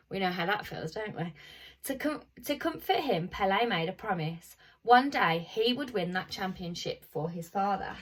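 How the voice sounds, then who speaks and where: A woman's voice: mid-range voice, fairly bright tone, slightly rough, distant mic, poor audio. A woman primary school teacher reading aloud to children in an informal, chatty way.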